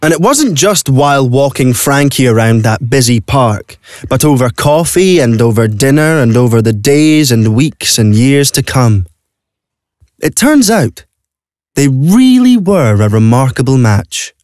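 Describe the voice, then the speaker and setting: Male audio play narrator, medium pitch. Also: expressive, a little slow, darkish tone, no room sound, studio quality.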